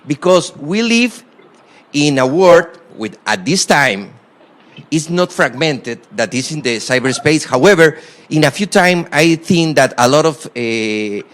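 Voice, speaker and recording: A man from Costa Rica with a strong Costa Rican Spanish accent gives a university guest lecture in halting English, full of fillers and restarts, with emphatic stresses on key words. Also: fairly high pitch, expressive, fairly bright tone. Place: lecture hall.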